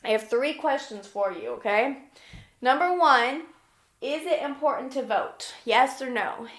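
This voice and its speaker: A woman's voice: high voice, animated, measured pace, fairly bright tone. A woman elementary school teacher reading aloud to young children and explaining things simply, often checking in as she gives instructions. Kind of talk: children's read-aloud lesson with questions.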